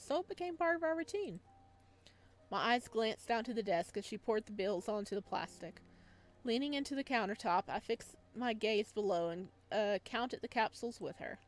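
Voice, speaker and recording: A woman reading a visual novel aloud as a let's player, expressive and now and then stumbling over the words. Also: medium pitch. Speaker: video game let's player.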